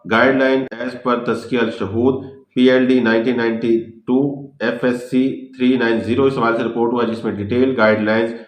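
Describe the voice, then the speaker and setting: A man with a Pakistani accent reading out a law lecture in a deliberate, formal manner, switching between English and Urdu. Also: medium pitch, little pitch movement. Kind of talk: scripted legal lecture, reading out points. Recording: echoey room.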